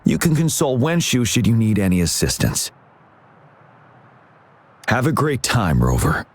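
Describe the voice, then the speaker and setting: A man's voice: medium pitch, wildly swooping pitch, measured pace, full character voice. A man voicing a dutiful young military commander in a video game, speaking in a polite, composed and formal way. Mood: courteous, composed. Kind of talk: video game character dialogue.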